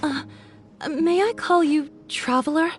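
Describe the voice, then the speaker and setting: A withdrawn young villager in a video game who speaks softly and hesitantly, always on guard. Her voice sits a little high, with clear game music underneath. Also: measured pace. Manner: soft-spoken, hesitant and guarded. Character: young wary desert village woman, withdrawn. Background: game background music.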